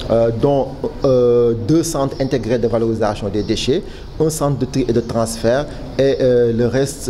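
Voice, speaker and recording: A man with a Senegalese accent giving formal interview answers as a project official, halting often and repeating words. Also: mid-range voice, dark tone. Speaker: official spokesman for a public waste management project. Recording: clearly noisy background ambience.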